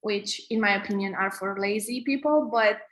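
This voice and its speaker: A woman in her thirties from Romania with a Romanian accent, teaching a live online coding class in a halting way, full of fillers and restarts. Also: mid-range voice, fairly bright tone, roomy sound. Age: 30s. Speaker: coding instructor.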